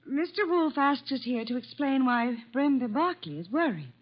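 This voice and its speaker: A woman's voice: high-pitched, animated, moderate pace. A woman playing a cool, disdainful widow in an old-time radio drama, speaking in a haughty, cutting, composed way. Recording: dull vintage radio recording.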